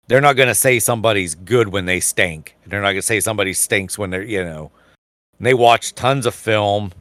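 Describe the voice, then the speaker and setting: A man co-hosting a casual podcast, with a slightly low voice. He rambles easily and fills every gap with fillers and half-started sentences. His voice is recorded dry, close to the mic. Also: sharp tone, no room sound. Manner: rambling, many fillers and restarts. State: losing his voice, clearing his throat. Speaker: podcast co-host. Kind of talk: casual chat.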